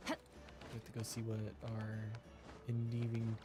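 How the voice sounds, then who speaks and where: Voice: mid-range voice, monotone, normal speed, music in the background. A streamer giving casual video game commentary and reading game text aloud.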